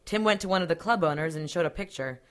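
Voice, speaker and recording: A woman's voice: fairly low pitch, fast, close to an everyday voice. A woman playing a cool, capable police detective in an audio drama, matter-of-fact with a dry edge.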